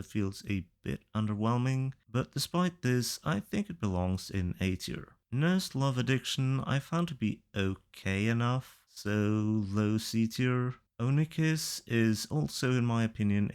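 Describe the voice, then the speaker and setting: A man giving casual opinion commentary through a ranked list in a matter-of-fact, measured way. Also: fairly low pitch, clean tone. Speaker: video reviewer.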